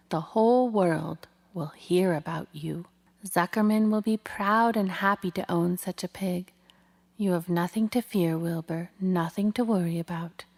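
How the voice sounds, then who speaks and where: A woman voicing a tired, calm, motherly female spider in a storybook, soft, low and breathy. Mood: reassuring.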